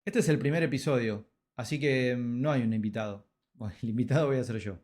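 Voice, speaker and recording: A man's voice: mid-range voice, music in the background. Argentine man narrating his own story. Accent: Argentine Spanish. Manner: reflective storytelling with dramatic pauses. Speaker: podcast host. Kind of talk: scripted personal narration.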